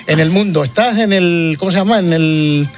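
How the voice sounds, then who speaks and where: Chatty radio host, higher-pitched man. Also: normal speed. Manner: chatty, stumbling restarts. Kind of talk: radio interview chat.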